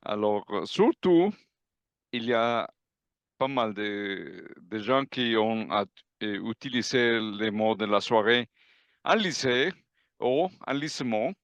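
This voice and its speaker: A man who is not a native speaker giving a grammar report at an online club meeting, halting with many restarts. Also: fairly low pitch, expressive, fairly bright tone, no room sound, highs cut off. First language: not French. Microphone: video call.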